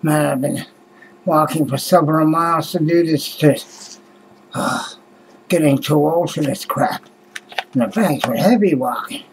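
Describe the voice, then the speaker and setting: A man's voice: a little high, measured pace, long pauses, slight background noise, poor audio. A man in his fifties from Canada, rambling and sighing to his webcam in a small room at night, swearing often and trailing off. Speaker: vlogger. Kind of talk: casual rambling vlog. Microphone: webcam close to face.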